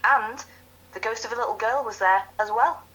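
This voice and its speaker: A woman's voice: a little high, moderate pace, sharp tone. An actress playing an earnest, slightly hesitant woman calling a radio phone-in over a telephone line. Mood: earnest, awed. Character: earnest woman phoning in her ghost sighting.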